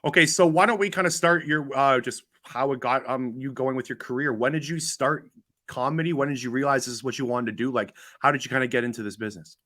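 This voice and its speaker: A man's voice: medium pitch, quick pace, sharp tone, dry recording, clean studio sound. A Canadian man hosting a podcast, doing a casual conversational interview. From Ontario, Canada.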